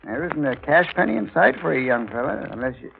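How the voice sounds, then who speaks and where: Creaky, folksy older small-town official. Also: male voice, fairly high pitch, very animated, heavily acted. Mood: folksy, matter-of-fact. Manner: folksy, chuckling, unhurried hemming. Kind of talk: 1940s radio drama acting. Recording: muffled, faintly noisy 1940s radio recording.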